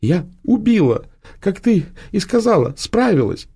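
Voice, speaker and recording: A man's voice: sits high, sing-song, a little slow, warm tone, slightly rough, voice pushed up, exaggerated voice. A man voicing a terrified young witch woman in agony, pleading in a strained voice.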